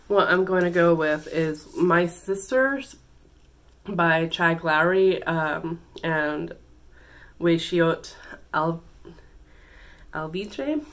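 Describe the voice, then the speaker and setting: A woman with a slightly low voice talks through her book picks for the month in a loose, chatty way. She is still congested from a cold, so her voice sounds a bit stuffy. She fills pauses with ums and often stops to correct herself. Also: fairly bright tone. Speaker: book channel host. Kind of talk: casual book recommendations.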